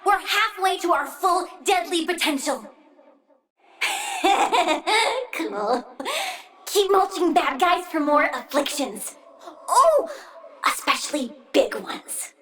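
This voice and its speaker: A tiny, bratty plant-girl villain from a video game. The voice is extremely high and sharp, taking its time over each threat. It has a childlike petulance and an eager, sing-song delight in cruelty. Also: very animated, slow, far from the mic, full character voice. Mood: gleeful, menacing. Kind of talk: video game character voice lines.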